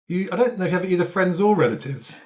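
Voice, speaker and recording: A man's voice: high-pitched, expressive, fast talker, darkish tone. A man chatting casually as a player in a tabletop roleplaying show, improvising backstory. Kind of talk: casual improvised game discussion.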